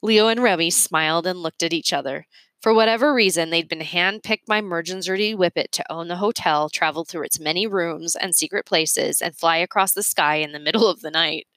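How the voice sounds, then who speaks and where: A woman's voice: lowish voice, fairly quick, a bit bright, dry recording. A woman reading a children's story aloud as a narrator. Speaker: storybook reader.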